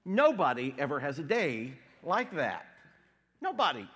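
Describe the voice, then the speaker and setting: A man preaching a sermon as pastor, congested from a cold, with a wry, deadpan humor. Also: a little high, a little slow, husky. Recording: long hall reverb.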